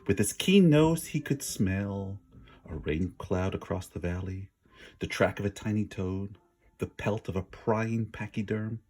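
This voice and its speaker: A man's voice: fairly low pitch, measured pace, a little mellow, a little hiss or hum. A man reading a children's story aloud with dramatic, emphatic word stress and an informal manner. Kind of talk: expressive read-aloud narration with casual asides.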